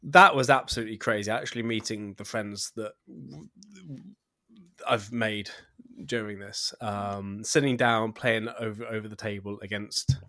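A man co-hosting a podcast in casual chat, soft-spoken and trailing off at the ends. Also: lowish voice, moderate pace, no room sound, clean studio sound. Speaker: podcast co-host.

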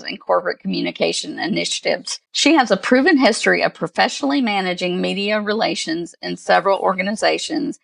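A woman co-hosting a podcast, reading a prepared guest introduction and asking questions in a steady, polished way. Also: mid-range voice, vocal fry, no room sound. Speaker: podcast co-host.

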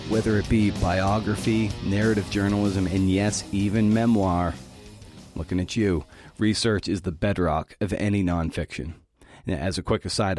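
A man's voice: deep voice, a little mellow. A man hosting a podcast, giving a conversational scripted talk with wry, enthusiastic asides.

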